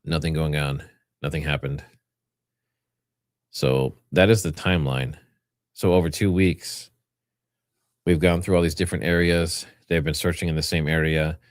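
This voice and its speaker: Low-voiced man hosting a livestream. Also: fairly even intonation, moderate pace, pauses often, no room sound, studio quality. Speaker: livestream host. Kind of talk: casual livestream commentary, partly reading notes aloud.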